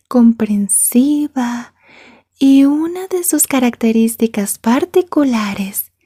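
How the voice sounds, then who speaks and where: A woman playing a possessive, jealous yandere schoolgirl in an ASMR roleplay, soft and breathy, taunting and slipping into whispers. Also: a little high, full character voice.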